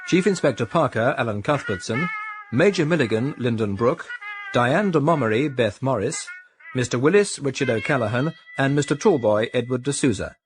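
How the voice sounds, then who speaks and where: A man's voice: mid-range voice, expressive, normal speed, background noise. A man with a British accent reading scripted drama credits as a radio announcer. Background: music.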